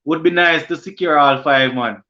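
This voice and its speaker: A Jamaican man hosting a casual cricket live stream, reading viewer comments aloud and answering them, often halting and restarting mid-sentence. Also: fairly high pitch, bright, forceful tone, lo-fi sound. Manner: halting, many restarts and fillers.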